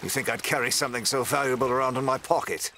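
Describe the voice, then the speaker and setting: An actor playing a scheming male villain who believes in his destiny, speaking in a smooth, menacing, theatrical way. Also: medium pitch, fast talker, slight background noise. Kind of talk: fan audio drama acting. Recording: with loud music score.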